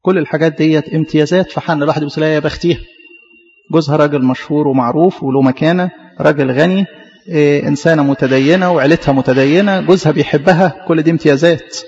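Fast-talking Egyptian preacher in a hall. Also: male voice, on the high side, fairly even intonation, a little mellow, highs cut off. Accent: Egyptian Arabic. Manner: questioning, repetitive. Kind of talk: sermon.

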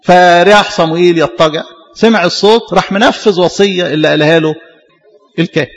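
A man's voice: high-pitched, quick pace. A man with an Egyptian Arabic accent preaching a sermon, retelling scripture in colloquial speech in an emphatic, teaching manner full of rhetorical questions. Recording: reverberant hall with long echo.

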